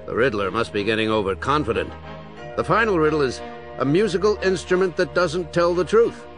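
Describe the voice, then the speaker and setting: A man's voice: a little high, lively intonation, fairly quick, fairly bright tone. An actor playing an earnest, puzzled male police commissioner in an audio drama. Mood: reasoning. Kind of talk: full-cast audio drama acting. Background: dramatic music.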